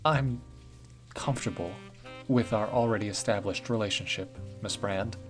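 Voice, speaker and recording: A man's voice: fairly low pitch, normal speed, music in the background, lightly acted. A man voicing a young woman who speaks with hesitant, forced politeness. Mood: uneasy.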